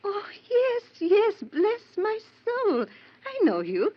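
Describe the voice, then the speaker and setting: An actress playing a flustered, reclusive old aunt in an old-time radio horror drama, with a husky, quavering voice. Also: female voice, very high-pitched, huge pitch swings, slow, heavily acted. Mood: welcoming, fussing. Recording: muffled vintage radio recording.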